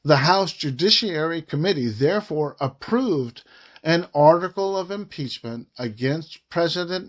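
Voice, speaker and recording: A man reading a formal report aloud in deliberate phrases, with frequent breaks between them. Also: medium pitch. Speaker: reader of a government report.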